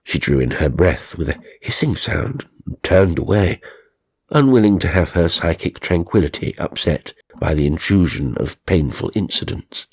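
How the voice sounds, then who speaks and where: A male audiobook narrator tells a ghost story with measured theatricality. His voice is low and gravelly with a husky grain, and his intonation is richly varied. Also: expressive.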